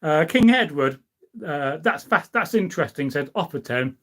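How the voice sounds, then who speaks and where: A middle-aged man hosts a casual live stream. His voice sits a little high for a man. He talks in an informal, wandering, anecdotal way, as if chatting with his viewers. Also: lively intonation, moderate pace, a bit bright. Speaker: live stream host, history enthusiast. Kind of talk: casual rambling chat, reading out and answering viewer comments.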